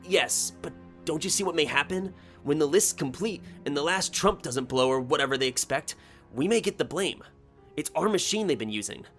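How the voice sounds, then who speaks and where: A man voicing an anxious, excitable male engineer in a story, speaking in an agitated, emphatic way. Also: a little high, moderate pace, faint music behind, voice pushed up. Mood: uneasy. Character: anxious, excitable younger male engineer breaking urgent news. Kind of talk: short story character dialogue.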